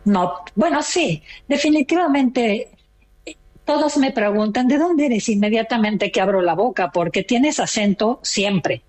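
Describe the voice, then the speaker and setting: A woman's voice: a little high, lively intonation, measured pace, a little mellow. A retired older woman from Mexico with a Mexican accent, chatting proudly as a caller on a radio talk show. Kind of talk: casual chat.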